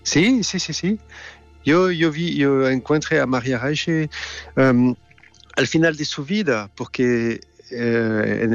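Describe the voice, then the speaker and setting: Halting Swiss man speaking Spanish. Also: mid-range voice, no room sound, dull audio. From Switzerland. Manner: halting non-native speech with many restarts. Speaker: guest filmmaker. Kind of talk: remote interview.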